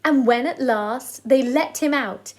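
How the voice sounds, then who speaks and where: High-voiced woman reading a children's story. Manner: deliberate, sing-song delivery of rhyming verse.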